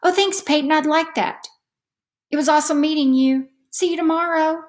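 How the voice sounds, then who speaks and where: A woman voicing an eager, sweet third-grade girl in a storybook, earnest and excitable. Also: high-pitched, normal speed, voice pushed up, natural voice. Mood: excited.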